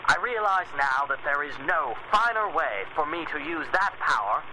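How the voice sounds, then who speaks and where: An actor playing an older, magnanimous millionaire giving a measured, oratorical speech in a radio drama. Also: male voice, fairly high pitch, expressive, noisy background, natural voice. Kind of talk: 1930s radio speech acting.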